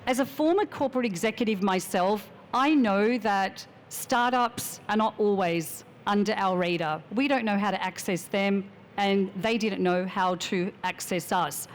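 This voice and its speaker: A woman giving a measured, formal introduction as a panelist at a finance conference. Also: mid-range voice, slight background noise. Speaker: panelist, strategic advisor.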